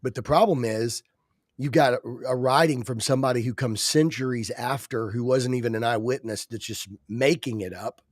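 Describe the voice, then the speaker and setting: A man with a stuffy, congested voice talks through a point with earnest conviction, restarting his sentences as he goes. His voice sits at a middling pitch. The sound is dry and close, with no room around it. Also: moderate pace, no echo. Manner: many restarts, earnest arguing. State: stuffy nose. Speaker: podcast co-host. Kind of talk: casual religious discussion.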